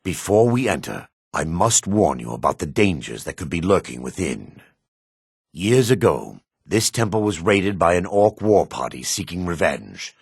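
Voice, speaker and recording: Older dark elf priest, deep husky voice, solemn and haunted, formal deliberate phrasing, expressive swings, fantasy game character. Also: male voice, no room sound, clean studio sound, heavily acted. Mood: grave, ominous. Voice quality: gravelly, husky. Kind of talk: video game character acting.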